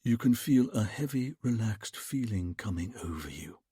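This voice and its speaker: A man voicing a vain male hypnotist who murmurs softly and soothingly to a woman in a trance. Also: medium pitch, huge pitch swings, vocal fry, breathy edge, dry recording, studio quality, heavily acted. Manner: soft, slow, soothing murmur.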